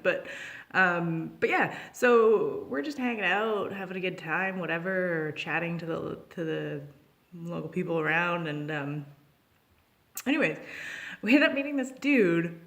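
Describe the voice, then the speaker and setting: A young adult woman with a Canadian accent tells a personal anecdote in an informal, chatty vlog style. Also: fairly low pitch. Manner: animated when acting out dialogue, informal. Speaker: storytelling vlogger. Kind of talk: casual anecdotal storytelling.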